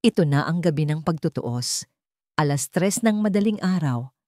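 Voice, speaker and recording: A woman's voice: deep voice, animated, normal speed, a little mellow, dry recording, studio quality. A woman with a Filipino accent reading a dramatic story as a scripted narrator.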